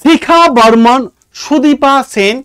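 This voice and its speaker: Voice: sits high, takes it slowly, fairly bright tone, clear, resonant tone, dry recording. A teacher with an Indian Bengali accent giving an online exam lesson, explaining emphatically and often checking understanding with short questions. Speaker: exam-prep history teacher.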